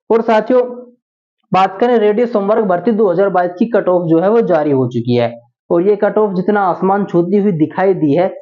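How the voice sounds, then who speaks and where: High-voiced man, phone-like sound. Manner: addresses viewers as friends, repeats and paraphrases. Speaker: host of a job exam update channel. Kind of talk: informal explainer, reading out notices. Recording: tinny, narrow phone-like sound.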